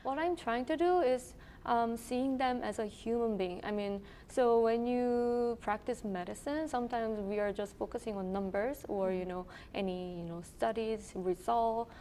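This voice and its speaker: A woman's voice: on the high side, normal speed, dark tone, a little hiss or hum. A woman in her thirties from South Korea with a Korean accent, a medical resident answering interview questions thoughtfully with many ums. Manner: thoughtful, with frequent ums and you-knows.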